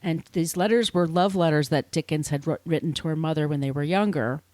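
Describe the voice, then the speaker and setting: A woman's voice: sits low, a little fast, mellow, no room sound. A woman podcast host telling a story in casual chat, informal and sweary, with conversational asides.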